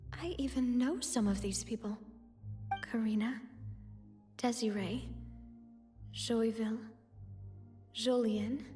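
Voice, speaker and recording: An actress playing a confident young noblewoman leader, earnest and expressive. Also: high voice, in a large hall.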